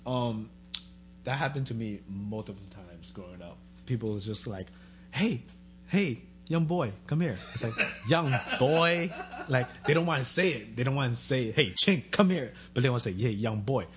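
A man in casual chat, relaxed and joking, dropping his voice low in his asides. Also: moderate pace, background noise.

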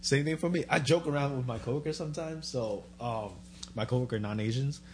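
Guy joking around in a chat, easygoing, dips low in his asides, slight hum. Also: brisk, darkish tone. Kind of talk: casual chat.

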